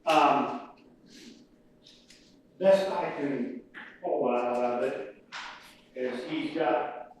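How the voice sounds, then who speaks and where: A man, a city official, explains a matter to a municipal board in a halting, step-by-step way with many fillers. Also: medium pitch. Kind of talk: meeting report. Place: municipal meeting room. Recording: very echoey room, distant mic, faint hiss.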